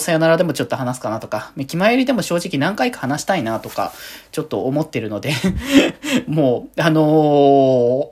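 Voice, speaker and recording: Lively low-voiced woman chatting, slightly husky. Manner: animated, giggly, rambling. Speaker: solo podcast host and streamer. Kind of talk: casual diary-style chat.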